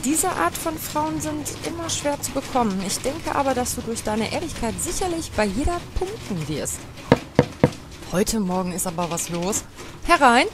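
A woman's voice: high-pitched, sing-song, normal speed, very noisy. A woman playing a teasing, helpful cousin in an audio drama, talking in a familiar way. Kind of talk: German audio drama acting.

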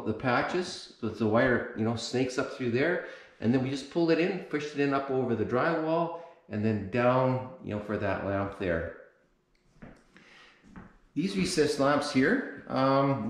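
A man's voice: mid-range voice, darkish tone. A middle-aged man casually walking through a home renovation, explaining the electrical work with lots of fillers and restarts. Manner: unscripted, many fillers and restarts, pointing things out. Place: empty room of a mobile home under renovation, bare drywall. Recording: echoey bare room.

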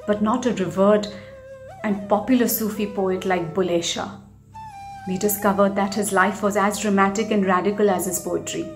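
Woman reading a message over music. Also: mid-range voice. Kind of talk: scripted message read aloud. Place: indoor room, plain wall.

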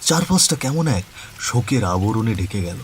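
A man's voice: fairly low pitch, sing-song, moderate pace, slight background noise. A man narrating a scripted horror cartoon story in a dramatic storytelling style.

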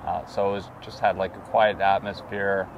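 A relaxed man in his thirties speaks in a deep, low voice and rambles easily through his story. He sits outdoors, recorded from a distance by the camera, with steady street noise behind him. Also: normal speed, lo-fi sound. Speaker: vlog co-host. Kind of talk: casual travel chat. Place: outdoors beside a travel trailer.

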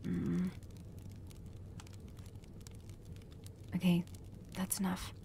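A woman acting a protective, doting human caretaker of a vampire in an intimate, soft-spoken ASMR roleplay, often dropping toward a whisper. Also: fairly low pitch, completely flat delivery, measured pace. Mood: reluctant, indulgent. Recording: clear background noise and ambience.

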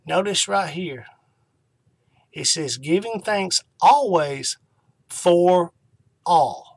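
Middle-aged man, higher-pitched voice, informal devotional talk. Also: lively intonation, drawn-out words, gravelly, breathy edge, no room sound. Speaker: online ministry host.

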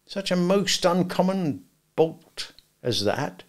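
A man voicing a simple, kindly grown man in a storybook, earnest and gentle, with rustic, ungrammatical speech. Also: high voice, very animated, slow, pitched above the performer's own voice, heavily acted. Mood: solemn, confidential.

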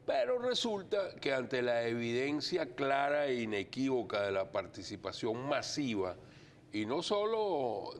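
Earnest older man, low voice. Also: slight background noise. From Venezuela. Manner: earnest, deliberate, many fillers. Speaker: guest. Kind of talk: TV studio interview. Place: TV studio.

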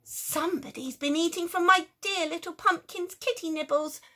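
A woman's voice: extremely high pitch, moderate pace, bright, forceful tone, voice pushed up. A woman voicing a fretful, doting mother in a storybook reading. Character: fussing, doting mummy woman.

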